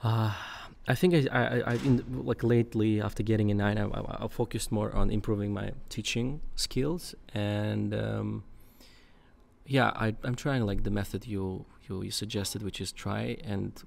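A young man with a low voice and a gravelly edge talks on a studio podcast. He thinks aloud, with frequent fillers and restarts, close to a boom mic. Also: fairly even intonation, unhurried, darkish tone. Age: young adult. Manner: thoughtful, many fillers and restarts. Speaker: podcast guest, English teacher. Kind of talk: casual conversation. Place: dark studio. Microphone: boom mic in front.

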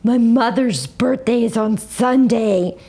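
Woman doing an eager, high-voiced little boy. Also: takes it slowly, mellow. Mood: excited, pleading. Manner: urgent, insistent, repetitive. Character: eager, excitable first-grade boy. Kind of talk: children's book read-aloud.

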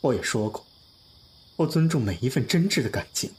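A man's voice: medium pitch, animated, fairly quick, a little mellow, a little hiss or hum, lightly acted. A man playing an earnest, lovestruck young pop star in an audio drama, speaking in an emotive, confiding way. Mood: earnest pleading. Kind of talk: Chinese audio drama acting, heartfelt monologue and dialogue.

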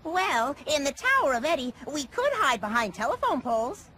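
A man's voice: very high voice, big pitch swings, normal speed, pushed and bright, slight background noise, exaggerated voice. A man voicing a brainy, cautious cartoon boy in a video game, with precise, fussy, pedantic diction.

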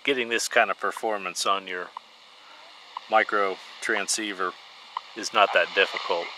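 A man hosting a radio hobby channel, calmly talking viewers through a hands-on build step by step. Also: sits low, measured pace, heavy background noise. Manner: plain, instructive, step-by-step. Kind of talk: DIY tutorial voice-over.

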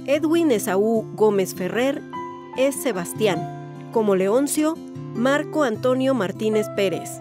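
A woman's voice: fairly high pitch, expressive, slow. A woman reading scripted credits as an announcer for a radio drama. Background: plucked guitar music.

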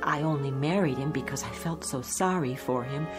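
A woman acting in a radio drama as an anxious, long-suffering wife, soft-spoken, worried and pleading. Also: very deep voice, normal speed, music in the background. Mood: troubled, confiding.

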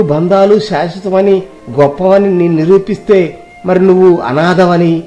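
A man's voice: high voice, mellow, close to an everyday voice. A man playing a proud, naive householder in a moral story, challenging and indignant in manner. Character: proud, naive householder devoted to his relatives. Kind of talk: Telugu moral story dramatization.

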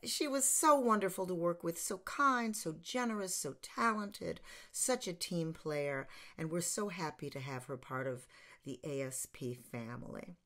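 An American woman in her sixties hosting online, giving an informal introduction with measured delivery and emphatic pauses. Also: lowish voice, a bit bright. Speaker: theater company host introducing an online show. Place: small home room, indoors.

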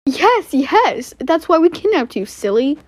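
Menacing villain father for a toy-app drama, cowardly underneath, very animated, dry sound female performer. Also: high voice, a little slow, dry recording. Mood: stern. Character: menacing male kidnapper father, cowardly when caught. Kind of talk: amateur toy-app roleplay voice acting.

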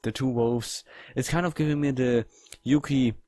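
A man giving casual game commentary in halting, non-native English phrasing. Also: medium pitch, fairly even intonation, mellow, no room sound. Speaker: gaming video host.

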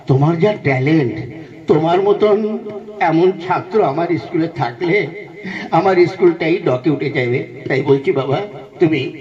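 A fairly high-voiced schoolmaster puppet played by a man, theatrical and exasperated as he quizzes a pupil in a comedy show. The sound is dull and slightly distant from the mic. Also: a little mellow, lightly acted. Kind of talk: comic puppet show dialogue.

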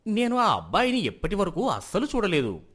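A man's voice: high voice, huge pitch swings, a little fast, fairly bright tone, breathy edge, heavily acted. A man playing a proud, status-minded middle-aged merchant husband in a moral story, self-justifying and then conceding. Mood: agreeable.

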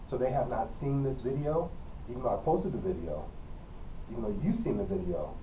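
A man's voice: mid-range voice, mellow. Midwestern male instructor, roomy and distant. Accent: Midwestern American. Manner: plain, repetitive teacherly explaining with frequent coughs. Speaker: college communication instructor. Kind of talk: recorded classroom lecture. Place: empty classroom with whiteboard and air conditioner. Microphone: camera mic from across the room. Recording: roomy, distant camera audio with faint hum.

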